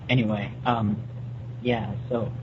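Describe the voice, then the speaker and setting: Young male podcast co-host, average-pitched voice, casual banter, dull recording with faint noise. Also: little pitch movement, slow.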